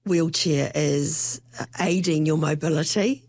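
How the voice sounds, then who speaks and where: A woman's voice: low-pitched, unhurried, creaky, darkish tone, no echo. A woman with a New Zealand accent hosting a podcast interview in a conversational style.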